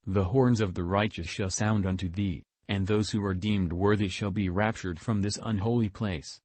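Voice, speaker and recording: Man voicing a low, solemn god of judgement. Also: steady tone, normal speed, a little mellow, no echo, lightly acted. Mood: solemn, stern. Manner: grave, archaic, formal. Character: solemn godlike divine son pronouncing judgement on mankind. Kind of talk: scripted apocalyptic proclamation.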